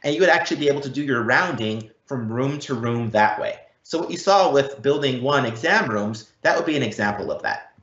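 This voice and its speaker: A man presenting a software demo, explaining it step by step. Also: mid-range voice, expressive, a little fast. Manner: explanatory, walking through step by step. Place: indoor room, live event. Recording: dull, roomy live event recording.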